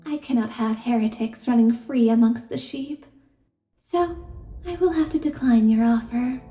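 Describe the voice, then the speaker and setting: A woman's voice: on the high side, normal speed, warm tone, echoey room, faint music behind, lightly acted. A woman voicing a menacing, sanctimonious female deacon in a fantasy audio roleplay, speaking in a formal, pious and condescending way. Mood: cold, threatening. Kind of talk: ASMR fantasy roleplay acting.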